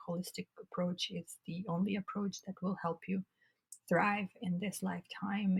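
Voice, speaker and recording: Raspy-voiced woman, congested throat. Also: on the low side, darkish tone, rough recording. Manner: earnest, restarts phrases often. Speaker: podcast co-host. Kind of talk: casual spiritual chat.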